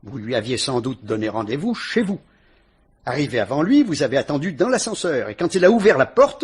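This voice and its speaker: A man playing a patient police commissioner who speaks in a measured, deliberate, politely ironic way in a vintage radio drama. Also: medium pitch, slightly rough.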